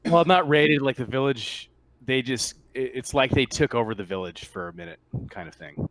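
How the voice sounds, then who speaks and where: A man's voice: mid-range voice, normal speed, a bit bright, dry recording, rough recording. A man narrating a tabletop roleplaying game as game master, describing scenes in a loose, conversational way.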